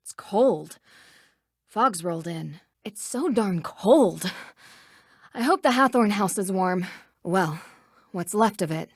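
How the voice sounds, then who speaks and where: Young female sleuth, animated, confident, curious, teasing, dry game recording. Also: medium pitch, slow pace, pauses often, husky, dry recording, full character voice. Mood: uneasy, defiant. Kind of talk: video game voice acting.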